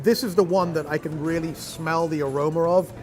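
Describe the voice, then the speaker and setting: A man's voice: on the high side, fairly even intonation, brisk, music in the background. A man hosting a food show, describing dishes as he tastes them with enthusiasm. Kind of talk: food tasting commentary.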